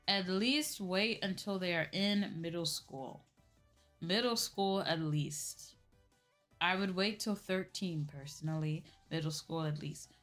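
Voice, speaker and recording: A woman livestreamer chatting casually with her viewers, with music playing behind her. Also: lowish voice. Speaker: livestreamer, VTuber host.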